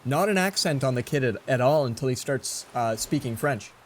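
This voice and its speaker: A man's voice: mid-range voice, lively intonation, normal speed, a little hiss or hum. A man in his forties giving casual gameplay commentary, rambling and chatty as he tells stories between moves. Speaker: let's play host.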